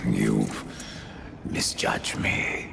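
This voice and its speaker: A man voicing a grim, undead horseman in a video game, terse and coldly defiant, with a gravelly voice. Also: very slow, noisy background. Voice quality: very deep, gravelly. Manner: terse, dry, unyielding. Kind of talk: video game cutscene acting.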